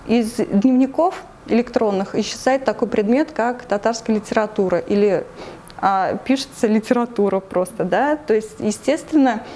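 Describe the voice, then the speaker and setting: Woman guest with a Tatarstan Russian accent, higher voice, measured with many pauses, faint background noise. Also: a little mellow. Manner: measured, frequent hesitations. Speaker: anti-corruption committee member, interview guest. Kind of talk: news interview answers.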